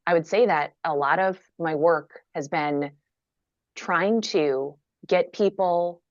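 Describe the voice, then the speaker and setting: A low-pitched woman from Minnesota speaks frankly and wryly, undercutting heavy moments with dry self-deprecating jokes. Her voice sits dead and close, with no room around it, and comes through a dull, lo-fi video call line. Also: takes it slowly, dry recording. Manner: candid, wry, self-deprecating humor. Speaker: guest author. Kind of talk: conversational interview answer.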